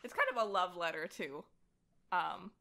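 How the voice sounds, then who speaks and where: A woman with a bright, forward voice talks casually as a guest on a podcast. Her delivery is animated and giggly, with a self-deprecating streak. It has the loose, informal feel of a friendly conversation. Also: fairly low pitch, moderate pace, vocal fry. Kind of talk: casual chat.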